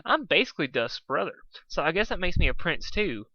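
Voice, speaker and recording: Storybook role: lovestruck small male dragon, voiced by a man, eager and bright, slightly muffled, dry sound. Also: fairly high pitch, fairly quick, pitched above the performer's own voice, full character voice. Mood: eager, boastful. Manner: excitable, smooth-talking.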